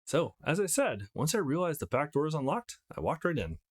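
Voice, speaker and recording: A man's voice: a little high, sing-song, brisk, voice pushed up. A man voicing a brash, teasing, foul-mouthed young woman from a visual novel, telling stories in a cheeky, blunt way. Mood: gleeful storytelling. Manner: cheeky, blunt, gossipy storytelling.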